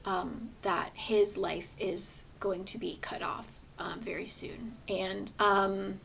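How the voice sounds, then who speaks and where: Hoarse woman rambling about books, earnest and chatty, mid pitch, bit of faint noise. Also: steady tone, slow, a bit bright. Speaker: book vlogger. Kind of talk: casual book review chat.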